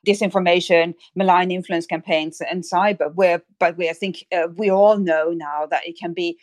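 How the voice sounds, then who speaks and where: Woman from Sweden, lower voice, expert commentator in interview, explanatory delivery, dry close recording. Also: fairly even intonation, moderate pace, a little mellow, no room sound. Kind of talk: analytical interview answer.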